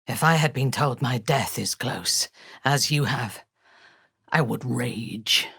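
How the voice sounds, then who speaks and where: An actress voicing a haughty, ruthless female warrior zealot in a video game, speaking in a formal, imperious, deliberate way with a husky voice. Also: extremely low pitch, a bit bright, no echo, studio quality, full character voice. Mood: grim, brooding. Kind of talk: video game character voice lines.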